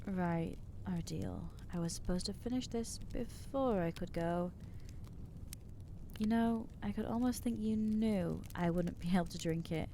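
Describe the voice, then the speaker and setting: A woman voicing an anxious, guilt-ridden young female vampire in an ASMR roleplay, speaking haltingly and stammering as she reproaches herself. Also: lowish voice, warm tone, a little hiss or hum. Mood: anguished.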